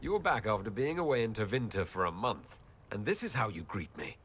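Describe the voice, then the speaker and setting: A man's voice: on the low side, sing-song, a little fast, a bit bright, slight background noise. A man playing an earnest, composed elf leader in a video game, direct and probing. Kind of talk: video game cutscene acting.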